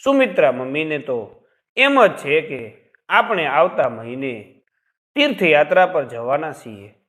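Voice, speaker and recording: A man's voice: on the high side, sing-song, unhurried, fairly bright tone. A man voicing an anxious, tearful young married woman in a story, pleading in distress. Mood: tearful, worried.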